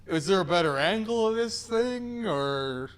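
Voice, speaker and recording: A man's voice: sits high, expressive, a little slow, clear, resonant tone. A man with a soothing voice hosting a tattoo reaction show, giving frank, opinionated critiques with casual swearing.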